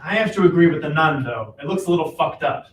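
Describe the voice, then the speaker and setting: A woman's voice: sits low, lively intonation, quick pace. A woman acting a doubtful, reluctant male hero with a dry, questioning manner in a live reading of a comic play. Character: skeptical, reluctant male hero turned steel magnate. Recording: distant, echoey room recording with hiss.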